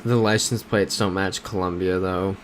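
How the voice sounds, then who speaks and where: A man with an American accent chats casually on a live stream in a low voice, reading viewers' messages back to them. He talks through his reasoning as he goes, and a faint hiss sits under the recording. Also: steady tone, normal speed. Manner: reads chat messages aloud and reasons through map clues.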